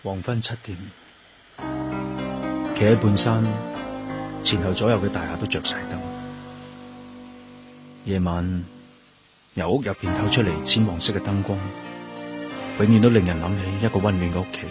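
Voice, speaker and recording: An actor with a Hong Kong Cantonese accent playing a lonely, grieving, gentle police detective in a radio drama. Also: male voice, deep voice, fairly even intonation, normal speed, long pauses, lightly acted. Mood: wistful, subdued. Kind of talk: radio drama, narrated inner monologue and dialogue. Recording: music under the voice. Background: background music.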